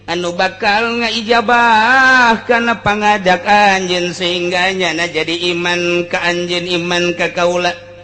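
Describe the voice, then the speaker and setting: A man's voice: sits high, little pitch movement, takes it slowly, sharp tone, faint music behind. A man with a Sundanese accent giving an informal Islamic sermon, speaking in a colloquial, earthy way and repeating phrases for emphasis. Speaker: Islamic preacher and teacher. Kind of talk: informal sermon with Arabic recitation.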